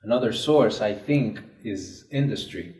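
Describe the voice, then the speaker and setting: A man's voice: on the low side, lively intonation, unhurried, a little mellow. A man from Spain, a university student, talking earnestly in a scripted discussion. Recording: echoey room.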